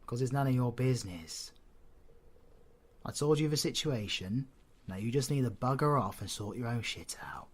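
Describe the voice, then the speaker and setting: A British man playing a cocky, menacing, flirtatious supervillain in an audio roleplay, husky and sardonic, often dropping to breathy whispers. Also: medium pitch, animated, normal speed. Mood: dismissive, threatening. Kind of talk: scripted audio roleplay, ASMR drama.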